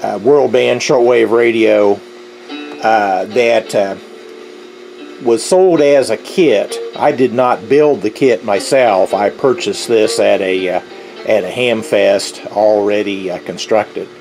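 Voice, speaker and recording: A middle-aged man giving an unscripted, explanatory demonstration as a hobbyist, speaking haltingly with a gravelly voice. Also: on the low side, a little mellow, faint music behind, low-quality recording. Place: indoors, at a tabletop radio. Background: shortwave radio playing faintly.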